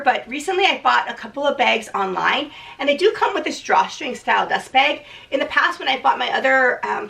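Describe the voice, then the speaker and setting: Hoarse, gravelly woman vlogger. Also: on the high side, pushed and bright, distant mic. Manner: chatty, many restarts and "um"s. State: hoarse from a cold. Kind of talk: casual unboxing vlog.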